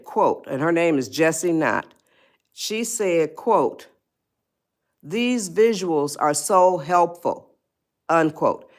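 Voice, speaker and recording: An elderly woman in her eighties talks to her live stream audience at an unhurried pace. She calls her viewers y'all, laughs easily and circles back when she loses her thread. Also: low-pitched. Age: 80s.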